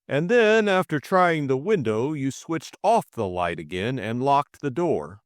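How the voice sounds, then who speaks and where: A man voicing a quiet, methodical police superintendent who questions deliberately in an audiobook. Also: medium pitch, very animated, no room sound, clean studio sound, pitched above the performer's own voice. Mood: probing. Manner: probing, deliberate. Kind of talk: audiobook character voice.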